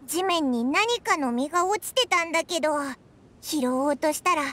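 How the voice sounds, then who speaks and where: A woman voicing a tiny childlike flying companion in an anime game, squeaky, cheeky and excitable, talking in a brash, informal way. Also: normal speed. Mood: flustered. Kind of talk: Japanese anime game voice acting.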